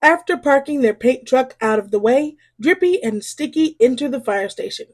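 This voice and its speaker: A woman reading a children's story aloud in an expressive way, drawing out key words for emphasis. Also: sits high. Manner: animated, drawn-out emphasis on exclamations and key phrases.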